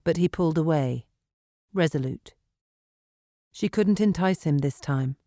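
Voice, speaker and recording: A woman audiobook narrator reading dramatic fiction in an expressive, measured storytelling manner in a studio. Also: low voice, no echo. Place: dry recording studio.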